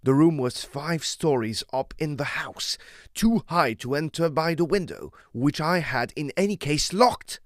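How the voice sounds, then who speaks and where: An actor playing an agitated, proud gentleman explorer of old, speaking emphatically in formal, old-fashioned phrases in a gothic audio drama. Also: fairly high pitch, lively intonation, unhurried, dry recording, clean studio sound. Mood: anguished recounting.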